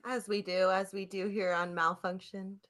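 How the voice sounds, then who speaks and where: A woman hosting a podcast in casual chat, informal and quick to chime in with reactions and agreement. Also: mid-range voice, little pitch movement, a bit bright. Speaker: podcast host.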